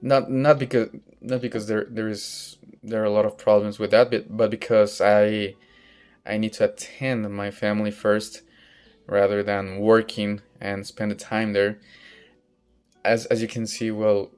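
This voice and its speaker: A young man with a Mexican Spanish accent talks slowly and hesitantly, stopping often to restart sentences and hunt for the right English word. His low voice is smooth and clear, held in a flat, even tone. Faint music plays behind him. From northern Mexico. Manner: hesitant, searching for words, frequent restarts. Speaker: vlogger practicing English. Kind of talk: casual personal monologue. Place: outdoors in sunlight.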